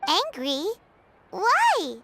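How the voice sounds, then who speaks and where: A woman voicing a tiny, blunt, excitable sprite companion in a video game, squeaky, childlike and cheeky. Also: very slow, heavy background noise, heavily acted. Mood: surprised. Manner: exclamatory, cheeky. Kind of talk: scripted video game dialogue.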